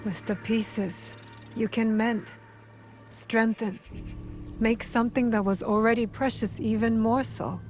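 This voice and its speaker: A woman playing a compassionate but resolute fighter in a historical game drama, soft-spoken and sincere. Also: a little high, steady tone, measured pace, faint music behind, lightly acted. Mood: gentle, reflective. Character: compassionate, resolute female fighter of feudal Japan. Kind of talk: dramatic game cutscene acting.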